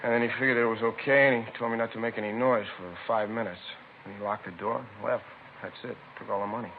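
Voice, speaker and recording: Actor as fast, choppy American shopkeeper witness. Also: male voice, lowish voice, fairly even intonation, natural voice. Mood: matter-of-fact, rattled. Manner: terse, choppy, colloquial. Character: plain-spoken male liquor store keeper, robbery victim. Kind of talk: 1950s radio police drama acting. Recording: tinny, lightly noisy 1950s radio recording.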